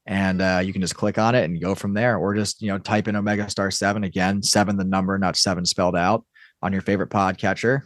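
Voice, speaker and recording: A man speaking as a podcast guest in an informal, halting casual chat. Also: low-pitched, little pitch movement, dry recording, studio quality. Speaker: podcast guest, audio drama creator.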